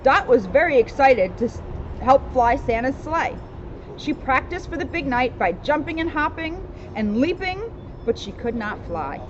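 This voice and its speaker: A woman's voice: sits high, lively intonation, unhurried. A woman reading a children's storybook aloud with casual asides, recorded outdoors. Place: outdoors in a town street. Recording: dull outdoor recording with loud street noise.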